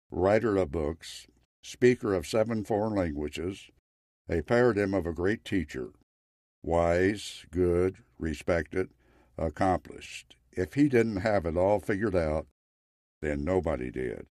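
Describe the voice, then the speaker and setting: A man narrating a scripted story in a measured, wry way. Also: sits low, darkish tone, no echo, studio quality.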